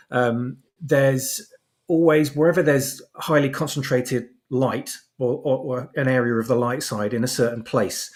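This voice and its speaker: Thoughtful man explaining, mid-pitched voice. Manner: thoughtful, searching for words. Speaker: guest, fan fiction writer. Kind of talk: explanatory interview talk.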